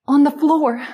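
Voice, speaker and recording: A woman's voice: sits high, a little mellow. A woman playing an anxious, pleading niece in a melodramatic old-time radio horror play. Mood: frightened.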